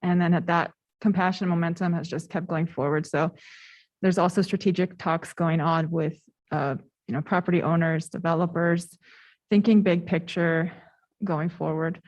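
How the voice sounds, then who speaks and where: A woman's voice: lowish voice, steady tone, moderate pace, darkish tone, dull audio. A woman on a city council giving appreciative remarks and introducing the next speaker.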